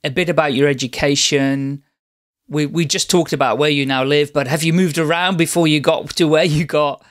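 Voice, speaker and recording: A man's voice: a little high, steady tone. A man hosting a podcast, welcoming his guest and asking questions in an upbeat, encouraging way. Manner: upbeat, encouraging, quick acknowledgements. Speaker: podcast host. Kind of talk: podcast interview.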